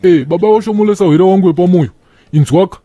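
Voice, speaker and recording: A man's voice: high voice, fast talker, warm tone, no echo. A Zimbabwean man playing a preachy, indignant church elder and fellow patient in a comedy cartoon, with a sanctimonious manner. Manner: sanctimonious, sermonizing. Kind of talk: animated comedy dialogue.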